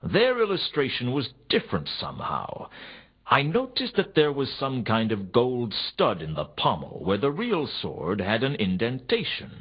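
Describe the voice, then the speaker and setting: An actor playing a world-weary, wry noir detective, narrating a game in a deadpan, sardonic way. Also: male voice, medium pitch, breathy edge, full character voice. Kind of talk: scripted first-person noir game narration. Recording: dull lo-fi game audio.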